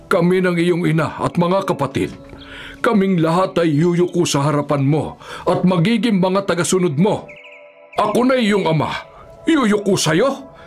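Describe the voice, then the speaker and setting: A man's voice: high-pitched, animated, a little slow, soft background music. A man playing a stern, older patriarch father in a Filipino biblical radio drama, grave and admonishing. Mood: stern, indignant. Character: Jacob, stern patriarch father, doting but easily offended.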